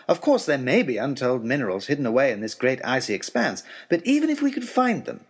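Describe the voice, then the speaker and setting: An earnest young gentleman adventurer, acted by a man, narrates a comic period audio drama in wordy, mannered phrases. His pitch is mid-range and swings in a lively way, with a husky edge to the voice and an anxious, wryly understated temper. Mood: politely exasperated. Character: Timothy Hope, anxious, florid young gentleman adventurer writing to his lady. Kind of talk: first-person comic adventure storytelling, letter-like period narration.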